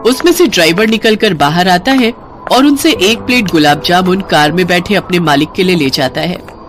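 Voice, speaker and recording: A woman's voice: lowish voice, expressive, moderate pace, soft background music. A woman storyteller reading scripted moral-story narration.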